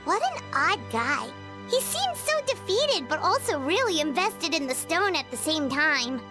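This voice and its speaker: Tiny game fairy sidekick: extremely high squeaky childlike voice, swooping and curious, music underneath. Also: female voice, sing-song, a little slow, exaggerated voice. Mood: curious, puzzled. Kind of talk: video game voice acting.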